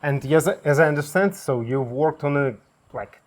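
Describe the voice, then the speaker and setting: A man's voice: fairly high pitch, normal speed, darkish tone. A man interviewing a property owner outdoors, asking short curious follow-up questions. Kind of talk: casual interview.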